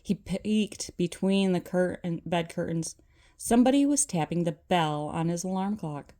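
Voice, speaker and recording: A woman's voice: on the low side, lively intonation, measured pace, warm tone. An older woman reading a children's storybook aloud as a storyteller.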